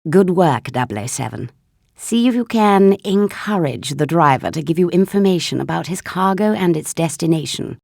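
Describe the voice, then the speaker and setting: An authoritative intelligence chief in a video game. Her voice is slightly low with a gravelly creak. She issues orders in a clipped, commanding way. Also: lively intonation, moderate pace, a little mellow, no echo, clean studio sound. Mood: stern, composed. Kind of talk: scripted mission briefing in a video game.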